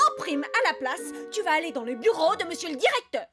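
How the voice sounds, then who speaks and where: A woman's voice: very high-pitched, sing-song, a little fast, over loud music. A woman playing a strict, exasperated primary school teacher in a children's cartoon.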